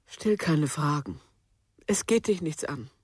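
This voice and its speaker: A woman's voice: low-pitched, animated, takes it slowly, darkish tone, slightly rough, no echo, studio quality, heavily acted. A woman playing a cold, defensive middle-aged mother in a radio crime drama, curt, guarded and evasive.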